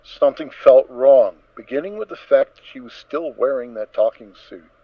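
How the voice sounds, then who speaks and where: A man narrating a scripted story dramatically, with measured, emphatic phrasing. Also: medium pitch, no echo. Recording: dull, dry lo-fi recording.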